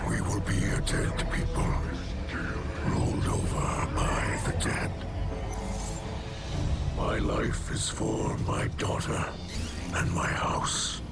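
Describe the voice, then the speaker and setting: Ailing alien patriarch for a game: noble, formal, grave, unhurried delivery, mid pitch, under loud music. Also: male voice, exaggerated voice. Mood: solemn, mournful. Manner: slow, formal, grave oratory. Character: ailing, solemn, noble old alien leader, a father. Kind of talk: dramatic video game cutscene acting. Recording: voice over prominent music.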